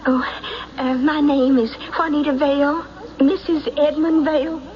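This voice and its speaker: A woman's voice: sits high, flat delivery, a little slow. A woman playing a haughty, spiteful wronged wife in an old radio drama, prim, melodramatic and accusatory, on a muffled recording. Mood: scornful, indignant. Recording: muffled old radio broadcast, faint noise.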